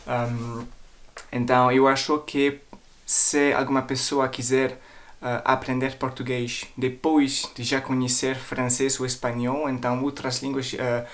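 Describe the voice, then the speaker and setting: Man, medium pitch, foreign accent, French native speaker, casual explanatory vlog style, hesitant, word-searching, repetitive phrasing. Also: a bit bright. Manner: hesitant, searching for words, repeating himself. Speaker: language-learning vlogger. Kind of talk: casual explanatory talk to viewers.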